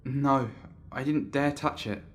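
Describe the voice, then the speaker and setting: An eager young man with a medium-pitched voice played by an actor hopes to manage influencers in this audio drama. His talk is casual, inquisitive and full of questions. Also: slow pace, lightly acted. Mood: uneasy.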